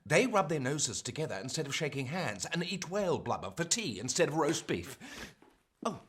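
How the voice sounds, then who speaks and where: A man playing an excitable, playful Victorian gentleman in a period radio play, with a breathy, husky voice. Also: a little high, normal speed. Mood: playful enthusiasm.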